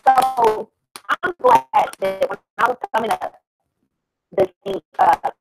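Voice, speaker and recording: A woman's voice: on the high side, sing-song, slow, gravelly, over loud music, rough recording. A middle-aged woman with an American accent, speaking casually and earnestly, a little preachy, searching for her words as she goes. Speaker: discussion participant and mother.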